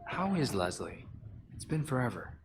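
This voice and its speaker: An actor playing a dry, easygoing masked vigilante in a video game, with a wry, conversational delivery. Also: male voice, mid-range voice, big pitch swings, moderate pace, slight background noise, close to an everyday voice. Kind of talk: video game cutscene acting.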